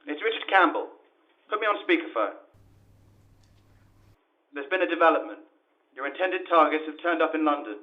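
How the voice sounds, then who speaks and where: A man's voice: a little high, animated, normal speed, long pauses. A man playing a terse, grave security official heard through a telephone filter in a radio drama. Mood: urgent. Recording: thin band-limited phone line.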